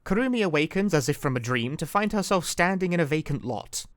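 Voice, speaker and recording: Animated wry male video essay narrator. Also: on the high side, brisk, no room sound. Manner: wry, dry humor with casual asides.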